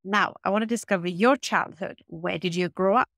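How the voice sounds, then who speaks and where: A woman hosting an interview asks short, prepared questions in a measured voice. Her pitch is mid-range, and the recording is dry with no room sound. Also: a bit bright, dry recording. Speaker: interviewer, host.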